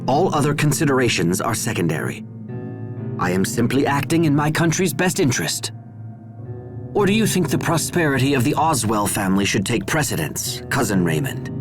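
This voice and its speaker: An actor playing a stern, proud young military officer in a video game, formal, cold and dutiful. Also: male voice, mid-range voice, lively intonation, moderate pace, over music, close to an everyday voice. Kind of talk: dramatic video game voice acting.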